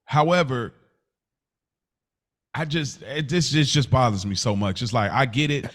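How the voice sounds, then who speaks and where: A man's voice: medium pitch, measured pace. A man co-hosting a podcast in a casual debate, speaking earnestly and argumentatively in slangy phrases, often repeating himself as he presses his point. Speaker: podcast co-host.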